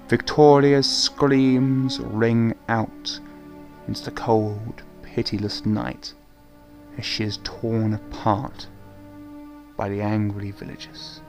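A man narrating a scripted horror radio play in a dramatic, measured way. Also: medium pitch, darkish tone.

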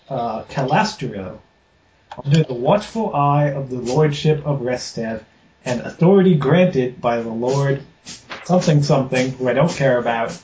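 A man's voice: mid-range voice, darkish tone, reverberant, dull audio, slight background noise. Joking man running a tabletop game. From Rhode Island. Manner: joking, offhand, reads a formal charter aloud. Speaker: game master.

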